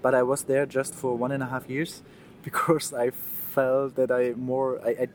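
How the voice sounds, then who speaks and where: Guest, German-accented man, thirties, earnest and halting, faint traffic noise behind. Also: medium pitch, completely flat delivery. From East Germany, Saxony. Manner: earnest, restarts words, laughs often. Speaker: guest, mime artist. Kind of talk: casual interview.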